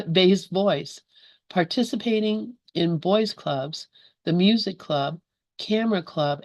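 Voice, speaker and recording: A woman's voice: deep voice, no echo, dull audio. A woman leading a study group on a video call, reading a book aloud and chatting, laughing easily between passages.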